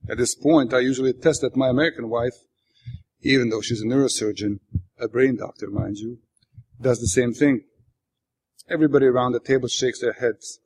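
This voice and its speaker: Male author, native Bosnian speaker with Bosnian accent, mid-pitched, reading literary prose aloud with dry, wry delivery. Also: a little mellow, low-quality recording. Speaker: author reading his own work.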